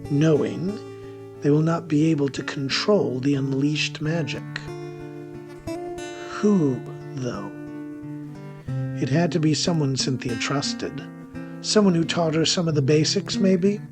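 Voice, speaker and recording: A man acting as a sardonic warlock on the run, narrating an audio drama in a theatrical, literate, wry manner. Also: a little high. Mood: pensive, probing.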